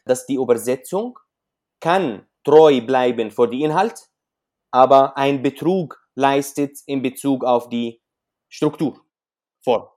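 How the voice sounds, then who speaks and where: A man's voice: medium pitch, slow pace, darkish tone. Man with Arabic accent, searching for words. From Syria. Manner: hesitant, searching for words in non-native German, explains with examples. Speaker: interview guest, author. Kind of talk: conversational interview.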